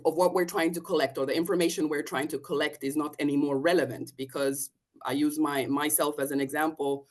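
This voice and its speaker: A woman from Finland, a policy officer answering a panel question on a video call, hesitant, with many fillers and restarts. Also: deep voice. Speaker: European Commission policy officer.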